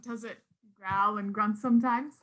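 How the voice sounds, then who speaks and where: An actress playing a playful, teasing wife with little patience in a radio play. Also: female voice, medium pitch, steady tone, moderate pace, a bit bright, natural voice.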